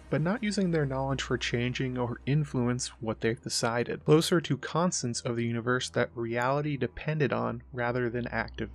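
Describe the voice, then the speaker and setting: A man presenting scripted explanatory narration as the host. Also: mid-range voice.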